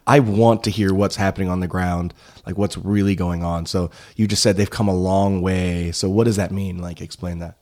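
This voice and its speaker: A man with a low-pitched voice co-hosts in a casual, chatty style. He cuts in bluntly and presses for explanations in plain, informal words. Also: fairly even intonation, normal speed, hardly any pauses, a little mellow. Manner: blunt, informal, probing interjections. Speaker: co-host. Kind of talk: casual chat.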